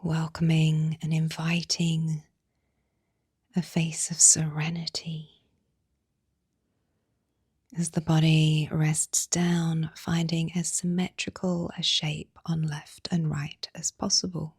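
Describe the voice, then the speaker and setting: A woman guiding a yoga nidra meditation, speaking softly and soothingly in a breathy voice with long pauses between phrases. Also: sits low, completely flat delivery, dry recording, studio quality. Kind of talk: guided meditation narration.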